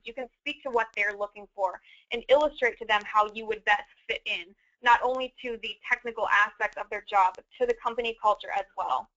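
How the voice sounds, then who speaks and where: A woman's voice: on the high side, bright, forceful tone. A woman co-presenting career advice in a conversational, explanatory and methodical way. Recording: dull, band-limited audio.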